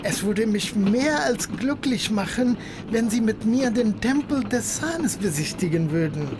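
A learned Tamil gentleman in a radio drama. He is courtly and rather self-important, with florid, polite phrasing. There is clear noise under the voice. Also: high-pitched, animated, a little slow. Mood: delighted, inviting. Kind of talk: German radio play acting.

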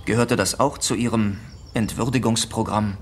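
An actor playing a dogged police sergeant in a German radio drama, probing, blunt and sarcastic. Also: male voice, fairly low pitch, fairly even intonation, moderate pace, a little hiss or hum, close to an everyday voice. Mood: stern, sarcastic.

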